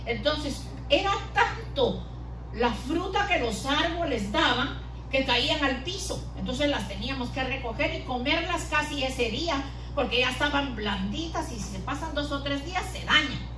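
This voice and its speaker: A woman's voice: high voice, expressive, normal speed. Woman preaching in an echoey hall. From Guatemala. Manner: homely, uses diminutives and everyday examples. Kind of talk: sermon. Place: church hall. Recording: echoey room from a distance, noisy.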